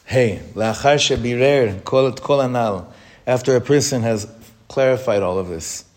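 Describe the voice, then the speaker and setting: Earnest rabbi teaching a class. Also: male voice, medium pitch, darkish tone. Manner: earnest, self-questioning, repeats phrases for emphasis; reads Hebrew text quickly, then explains it. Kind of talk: informal Torah talk.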